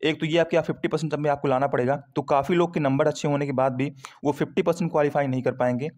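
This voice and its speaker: A man talks to students as an online exam coach. His voice sits a little high and he speaks very quickly. The delivery is conversational and informal, dotted with English terms and frequent little check-in tags. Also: fairly even intonation, darkish tone. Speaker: exam prep YouTuber. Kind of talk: informal explainer addressed to students.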